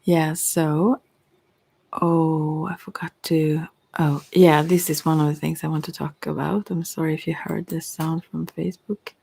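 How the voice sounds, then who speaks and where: Low creaky woman, non-native english, soft asmr ramble that drifts into whispers, trails off a lot. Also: little pitch movement, measured pace. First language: not English. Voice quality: soft, breathy, slipping into whispers. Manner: hesitant, meandering, trailing off. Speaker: ASMR creator and tarot reader.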